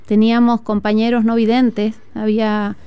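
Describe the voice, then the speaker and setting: A woman from northern Argentina with an Argentine accent, a music teacher speaking earnestly and reflectively in an interview, with frequent "eh" pauses. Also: fairly high pitch, level tone, warm tone, smooth, no echo. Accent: Argentine Spanish. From Tucumán, northern Argentina. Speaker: music teacher and flutist, guest. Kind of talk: conversational interview. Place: outdoor school courtyard.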